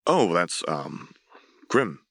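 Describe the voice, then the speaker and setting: A man's voice: low-pitched, big pitch swings, drawn-out words, a bit bright, dry recording, studio quality, exaggerated voice. A man voicing a polite, inquisitive and professional female newspaper interviewer in a dramatic audiobook reading. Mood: cautious, uneasy.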